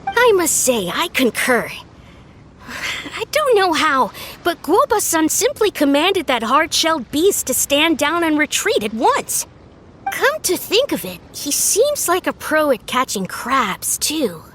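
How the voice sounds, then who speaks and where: A woman's voice: high-pitched, big pitch swings, slow pace, a bit bright, slight background noise, heavily acted. A woman voicing an earnest, polite young sidekick in an anime game, reasoning things out in formal speech. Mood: earnest, revelatory.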